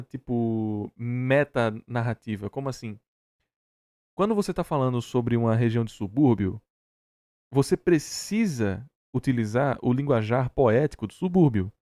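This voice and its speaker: A man's voice: mid-range voice, mellow, no echo. A Brazilian man giving casual, opinionated commentary in an emphatic, slangy way, as a reaction host. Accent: Brazilian Portuguese.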